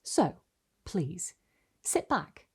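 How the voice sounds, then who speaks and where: A woman with a slightly low voice reads like a dramatic storyteller. Her pitch moves freely and widely, and her phrasing is deliberate and expressive, suited to scripted literary narration. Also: husky. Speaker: host and reader of a literary video series.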